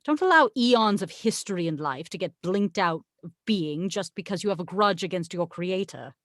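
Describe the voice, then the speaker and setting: A woman's voice: fairly low pitch, animated, normal speed, dry recording, studio quality, lightly acted. A woman reading the part of a haughty, sarcastic angel in a script table read, with a wry, exasperated, condescending manner. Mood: grave, insistent. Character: haughty, sarcastic tequila-loving angel, the voice of God.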